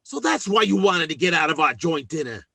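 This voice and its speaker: An actor playing a blustering, scheming working-class husband who stammers and boasts, in old-time radio sitcom style. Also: male voice, on the high side, big pitch swings, brisk, creaky, pushed and bright, dry recording, full character voice. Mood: flustered, exasperated.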